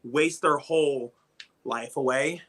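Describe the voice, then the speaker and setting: A man's voice: mid-range voice, takes it slowly, fairly bright tone. A man in his thirties chatting casually on a birthday livestream, rambling and stumbling over his first words. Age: 30s. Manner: rambling, repeats words when starting sentences. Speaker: livestream host.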